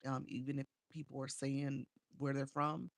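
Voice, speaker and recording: A woman's voice: rumbling low, little pitch movement, normal speed, no echo, studio quality. A woman from Chicago coaching live streamers in a casual livestream chat. She reads viewer comments aloud and answers them, with frequent "um"s, on a small desk microphone at home.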